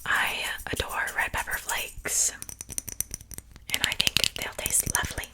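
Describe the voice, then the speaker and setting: A woman whispering gently and without hurry in an ASMR roleplay, playing a polite but wicked witch in a breathy voice. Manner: gentle, unhurried, softly chatty. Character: wicked but polite witch seasoning her victim for stew. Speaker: ASMR performer.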